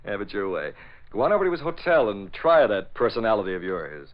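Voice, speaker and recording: Lively, deepish newspaper boss, old radio. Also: male voice, a little fast. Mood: wryly amused. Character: shrewd, dryly amused male newspaper boss. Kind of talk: old-time radio drama acting. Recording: muffled, noisy old radio recording.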